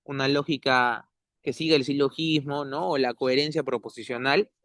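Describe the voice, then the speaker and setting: A man, a guest giving measured, academic analysis, often ending points with tag questions. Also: mid-range voice, fairly even intonation, a bit bright, dry recording, clean studio sound. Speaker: interview guest. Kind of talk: analytical conversational commentary.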